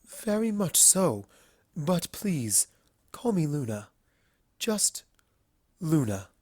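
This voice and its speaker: A performer voicing an aloof, wry female alicorn princess in a storybook reading, cool, measured and regal. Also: medium pitch, sing-song, darkish tone, no echo, clean studio sound, voice pushed up. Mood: cool, polite.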